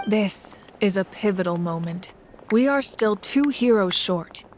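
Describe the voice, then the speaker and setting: A woman voicing an adult female ruler of a land in a video game, speaking in a measured, composed and thoughtful way. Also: medium pitch, lively intonation, a little mellow, a little hiss or hum, natural voice. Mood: resolute. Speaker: ruling Archon. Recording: game audio with faint music behind.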